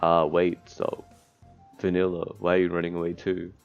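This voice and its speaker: A man's voice: low voice, monotone, normal speed, clean tone. A man reading visual novel text aloud as a let's player, stumbling over words and breaking off into offhand asides. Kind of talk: gameplay commentary, reading game text aloud. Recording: dull lo-fi mic, background music. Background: game music.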